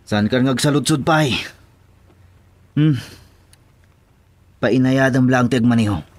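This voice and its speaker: A man acting as a scheming, careful male henchman in an Ilocano radio serial. His mid-pitched voice is recorded dry and close, with an Ilocano accent. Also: unhurried, no room sound, close to an everyday voice. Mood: scheming, impatient. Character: scheming henchman, cautious and loyal to his boss.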